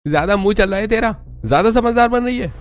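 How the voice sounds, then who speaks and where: A man's voice: sits high, lively intonation, quick pace, voice pushed up. A man voicing a bullying young stepbrother in a cartoon story, threatening and aggressive. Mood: angry. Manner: sharp, threatening.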